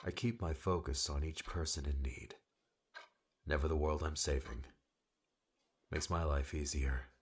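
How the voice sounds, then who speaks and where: Man playing very deep-voiced, curt, aloof loner. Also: fairly even intonation, a little slow, long pauses, slightly rough, full character voice. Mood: guarded, matter-of-fact. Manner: terse, dismissive, cold. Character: curt, aloof male guardian, a weary loner. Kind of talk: dramatised audiobook dialogue.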